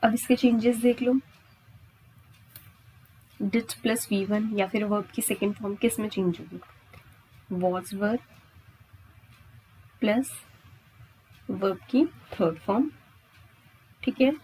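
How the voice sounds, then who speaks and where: Husky Indian woman teaching a lesson. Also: medium pitch, takes it slowly, pauses often, warm tone, poor audio. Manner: repetitive, asks and answers her own questions, frequent check-in tags. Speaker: English grammar teacher. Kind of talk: exam-prep lesson at a board, explaining step by step.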